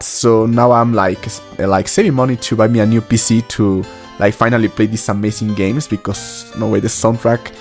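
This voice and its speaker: A man in his twenties, a native Spanish speaker, hosting a casual reaction vlog in an enthusiastic, halting, informal way. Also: mid-range voice, a little mellow. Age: 20s. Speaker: host of a reaction channel. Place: small furnished room at home. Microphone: close desk microphone, headphones worn.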